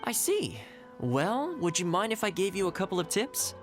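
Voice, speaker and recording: An actor playing a courteous, shrewd young male trade adviser in a video game, speaking in a polite, articulate, measured way. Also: high-pitched, very animated. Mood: polite, advising. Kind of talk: scripted game character dialogue. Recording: music behind the voice.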